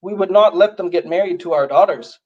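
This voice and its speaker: A man from Canada with a notably high voice for a man, speaking as a guest on a livestream. He talks bluntly and with emphasis, leaning hard on his key points. The sound is dull, as from a lo-fi stream. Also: a little fast. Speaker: guest, youth advocate. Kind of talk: livestream conversation.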